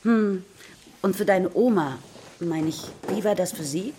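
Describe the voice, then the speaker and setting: A woman playing a patient, encouraging primary school teacher in a German children's radio play, with a husky voice and a questioning, instructive way of addressing her class. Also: lowish voice, a little slow, mellow, a little hiss or hum, natural voice. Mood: inquiring, instructive.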